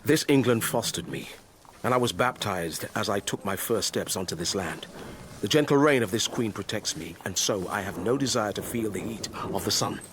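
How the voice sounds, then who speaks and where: An actor playing a proud, devout older Moorish stableman in a period radio drama, solemn, measured and poetic. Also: lowish voice, lively intonation, lightly acted. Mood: resolute. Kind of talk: period radio drama acting, lyrical speech. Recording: clearly noisy stable ambience behind.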